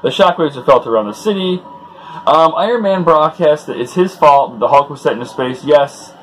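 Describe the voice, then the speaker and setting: A man's voice: a little high, expressive, moderate pace, a bit bright, a little hiss or hum, low-quality recording. Casual man retelling a comic book. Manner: acts out dialogue loosely in his own voice. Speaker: comic book read-along host.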